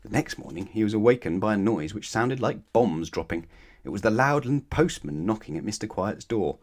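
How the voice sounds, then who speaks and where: A man reads a children's bedtime story aloud in a somewhat low voice. His narration is animated and expressive, addressed straight to young listeners. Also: normal speed. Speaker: storybook reader.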